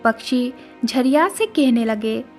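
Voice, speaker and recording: A woman's voice: a little high, lively intonation, slow pace, soft background music. A woman narrating a scripted moral story as the storyteller.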